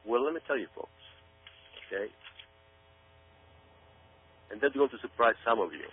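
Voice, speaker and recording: A man's voice: medium pitch, expressive, moderate pace, husky. A man giving an emphatic, folksy monologue commentary, speaking directly to his listeners as if explaining his case. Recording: tinny phone line with hum.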